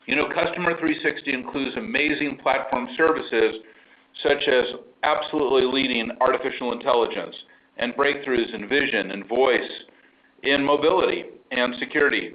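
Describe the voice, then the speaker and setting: A man's voice: mid-range voice, normal speed, dull audio. A man, a company chief executive, speaking on an earnings call in an upbeat, enthusiastic way, with frequent fillers. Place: remote call line from abroad.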